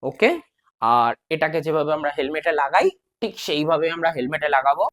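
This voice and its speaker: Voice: medium pitch, a little fast, fairly bright tone. Casual vlogger explaining gear step by step. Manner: informal, instructional, addresses viewer directly, mixes in English words. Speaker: moto vlogger presenting a gear setup.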